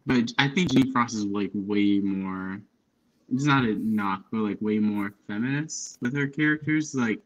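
A man's voice: on the low side, unhurried, fairly bright tone, highs cut off. A young man hosting a casual book chat on a video call, speaking informally and enthusiastically with slangy praise. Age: young adult. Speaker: book livestream host. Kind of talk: casual chat about books. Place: indoor room at home, on a video call. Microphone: headphones on, video call.

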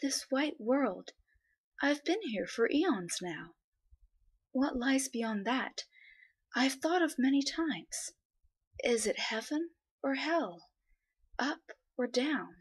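A woman voicing an ancient, weary, trapped spirit, brooding in a hushed way. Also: sits high, animated, slow, long pauses, breathy edge, exaggerated voice.

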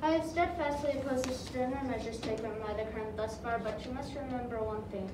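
A stern loyalist governor , played by a woman speaks in a formal, indignant way. The voice sits fairly high and is heard from across an echoey room. A steady noise runs under the voice. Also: fairly quick, recorded from a distance, lightly acted. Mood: firm.

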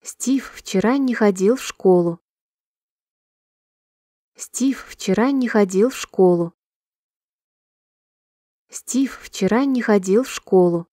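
A woman reading short sentences slowly and clearly for a language-listening drill, repeating each one in a measured, even way. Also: mid-range voice, no room sound, studio quality. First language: Russian. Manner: clear, measured, evenly paced repetition. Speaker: Russian sentence reader.

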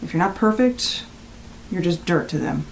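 An actress playing a guarded, blunt rental guest, speaking tersely and naturally in an audio drama. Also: female voice, fairly low pitch, lively intonation, normal speed, vocal fry, a little hiss or hum, low-quality recording.

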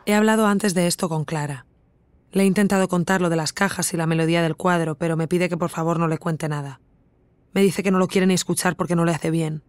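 An actress playing an introspective podcast investigator with an accent from Spain, narrating in a measured, reflective first person for an audio drama. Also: female voice, lowish voice, fairly even intonation, no room sound, natural voice. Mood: concerned, matter-of-fact.

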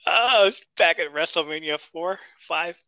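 A man talks wrestling history on a podcast in a bright, clear voice, recorded dry and close. He is chatty and jokes as he runs through his notes. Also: a little high, a little slow, no room sound, lo-fi sound. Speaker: podcast co-host. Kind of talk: casual wrestling review chat.

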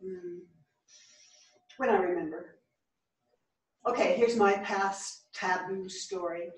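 A woman's voice: fairly low pitch, steady tone, takes it slowly. A woman playing a frank, bookish, wounded character in a staged play reading, recorded from far off in a theatre. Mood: confessional, pained. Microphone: far camera. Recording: echoey theatre, far from the microphone.

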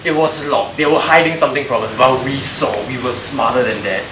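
A man's voice: mid-range voice, fairly quick, far from the mic, background noise. Young Singaporean man in an echoey room. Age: young adult. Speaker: student cameraman in a behind-the-scenes vlog. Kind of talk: casual joking chat to camera. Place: indoor office studio. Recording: echoey room with background chatter.

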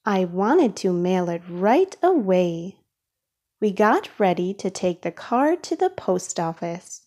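A woman reading a children's storybook aloud in a careful, expressive way for young listeners. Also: medium pitch, slow. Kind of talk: read-aloud storybook narration.

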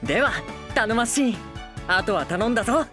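An actor playing a playful, affectionate and lazy young shogun who wheedles and pouts theatrically in a comic anime drama. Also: male voice, high voice, expressive, normal speed, over music. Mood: gleeful. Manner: sing-song wheedling, theatrical pouting. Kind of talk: anime voice drama comedy acting.

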